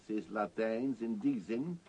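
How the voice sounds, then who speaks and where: An actor playing a genial, easily amused vagabond in a radio play, raspy and gravelly, talking in a chummy, colloquial way. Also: male voice, fairly low pitch, unhurried, mellow, low-quality recording. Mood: musing. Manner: chuckling, colloquial, hesitant musing. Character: genial, easily amused vagabond man, old travelling companion. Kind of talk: Dutch radio play acting.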